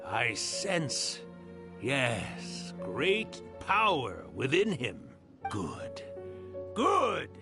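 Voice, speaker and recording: Swooping, theatrical villainous spirit. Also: male voice, mid-range voice, huge pitch swings, gravelly, heavily acted. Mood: gloating, menacing. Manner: theatrical, drawn-out, gloating. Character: sly theatrical male yokai spirit villain, mocking and grandiose. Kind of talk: scripted video game voice acting. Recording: music playing behind the voice.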